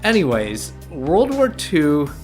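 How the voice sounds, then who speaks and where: A man's voice: a little high, wildly swooping pitch, slow, soft background music. A man doing a dramatic comic reading, ranting with exaggerated indignation. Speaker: comedy reader.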